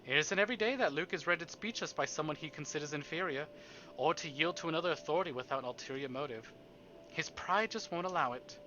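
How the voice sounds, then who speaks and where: A man's voice: fairly high pitch, quick pace, sharp tone, highs cut off, slight background noise. A man live streaming, reading a story aloud and adding playful, exclamatory asides to his chat. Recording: music behind the voice.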